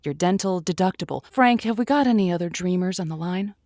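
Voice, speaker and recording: A woman acting as an earnest radio call-in host with a slightly low voice and a dry, close sound. She counsels in a gently whimsical, encouraging way, like a quirky late-night advice show in an audio drama. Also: a little fast, no echo, close to an everyday voice. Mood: composed, welcoming.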